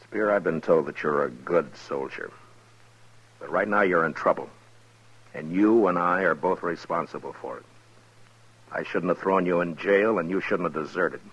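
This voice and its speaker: A commanding, measured frontier lawman, very low in pitch, muffled vintage radio western sound. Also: fairly bright tone, slightly rough. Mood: calm, firm. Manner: terse, measured, authoritative. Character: steady, terse frontier marshal, grown man. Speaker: radio drama actor. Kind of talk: 1950s radio western acting. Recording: muffled old 1950s radio recording.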